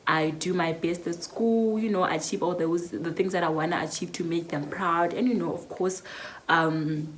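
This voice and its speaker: A woman's voice: lowish voice, steady tone, moderate pace, a little hiss or hum. A nineteen-year-old woman with a South African accent, chatting reflectively through a Q&A vlog with lots of fillers. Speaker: YouTuber. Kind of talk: casual Q&A vlog.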